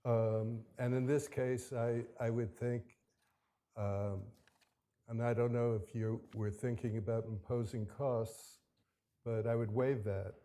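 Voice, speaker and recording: A man arguing his case before an appellate court, speaking haltingly with many restarts and fillers. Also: mid-range voice, little pitch movement, warm tone. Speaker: attorney arguing his own appeal. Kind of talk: courtroom oral argument.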